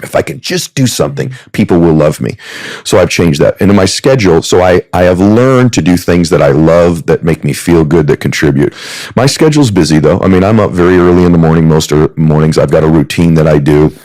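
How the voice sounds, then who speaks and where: A man with a very low, deep voice tells a story as a podcast guest. His pitch rises and falls widely as he builds to loud, emphatic peaks. The sound is dry and close, with no room echo. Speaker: businessman. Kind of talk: storytelling conversation.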